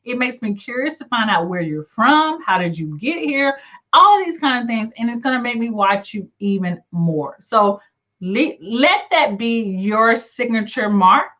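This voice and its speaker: A woman's voice: a little high, sing-song, measured pace, fairly bright tone. A middle-aged woman with a Southern US country accent, chatting casually and encouragingly as a livestream host, with folksy, colloquial phrasing. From southern United States. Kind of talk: casual chat reading live comments. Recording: dull, lo-fi livestream audio.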